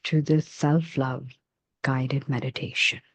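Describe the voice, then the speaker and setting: A woman leading a guided meditation in a soft, soothing, measured way with long pauses between phrases. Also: rumbling low, fairly even intonation, breathy edge, no echo.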